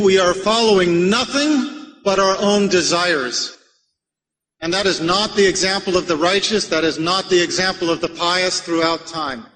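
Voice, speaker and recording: Male preacher from America, measured rhetorical lecture style, long reverb of a hall around him. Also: high voice, a bit bright. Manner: measured, rhetorical, addresses the audience with questions. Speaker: Islamic preacher. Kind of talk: religious lecture to a live audience.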